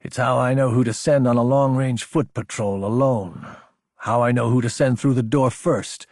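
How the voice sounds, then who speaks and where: Man voicing a stern, husky older woman commander. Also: medium pitch, steady tone, a little mellow, dry recording, close to an everyday voice. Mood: firm, instructive. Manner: matter-of-fact, dry, deliberate. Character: stern, unflappable older female military commander.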